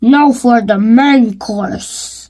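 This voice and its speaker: A man's voice: extremely high pitch, extremely slow, a little mellow, heavily acted. A young boy voicing a crafty, greedy, gleeful wolf in a storybook. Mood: gloating. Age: child.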